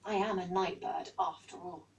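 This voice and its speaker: A woman's voice: on the low side, fairly even intonation, moderate pace, distant mic, muffled recording, natural voice. A woman with an English accent voicing an earnest, childlike young male owl in a storybook. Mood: excited. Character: eager young male barn owl, timid of the dark.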